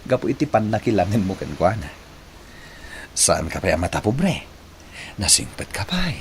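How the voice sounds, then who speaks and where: Earnest, swooping young Ilocano suitor. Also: male voice, lowish voice, very animated, moderate pace, a little hiss or hum. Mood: tender, earnest. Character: earnest young man confessing his love tenderly. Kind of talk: Ilocano radio drama acting.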